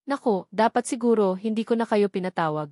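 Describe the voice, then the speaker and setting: A woman's voice: mid-range voice, a little fast, warm tone, dry recording, studio quality, natural voice. A woman with a Filipino accent playing a cheerful, eager old grandfather in an audiobook, genial and teasing.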